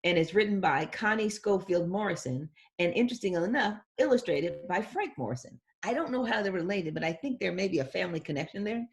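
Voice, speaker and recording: A woman reading a picture book aloud to young children in an expressive voice, pausing to chat casually about the illustrations. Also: fairly low pitch. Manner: expressive, acts out sound words. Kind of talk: read-aloud storytelling with casual commentary.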